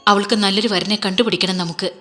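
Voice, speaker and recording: A woman's voice: mid-range voice, fairly even intonation, quick pace, a bit bright, faint music behind, natural voice. A woman voicing an adult queen who urges the king to find a husband for their daughter. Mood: urging. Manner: urgent, pleading with the king. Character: anxious, urging adult queen mother.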